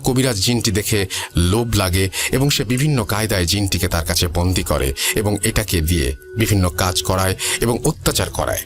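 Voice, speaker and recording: A man's voice: deep voice, normal speed. A man with a Bangladeshi accent, hosting a show and reading out listeners' ghost stories. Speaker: host of a ghost story show. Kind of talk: reading listeners' emailed horror stories aloud. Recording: voice over background music. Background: music.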